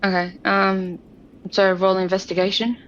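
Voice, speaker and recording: A woman's voice: medium pitch, little pitch movement, moderate pace. A woman chatting casually as a player in a tabletop role-playing game. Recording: dull, noisy home recording.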